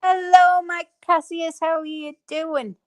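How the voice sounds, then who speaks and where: A woman's voice: very high-pitched, little pitch movement, measured pace. A woman, hosting a casual live stream chat and filling her talk with ums and laughs. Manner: chatty, lots of fillers and laughs. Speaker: livestream host.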